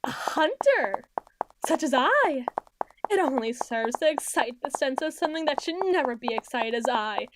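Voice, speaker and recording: A woman's voice: high-pitched, sing-song, normal speed, very noisy. A woman voicing a haughty, centuries-old tsundere vampire noble in an ASMR roleplay, with theatrical, formal and condescending phrasing. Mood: haughty, menacing.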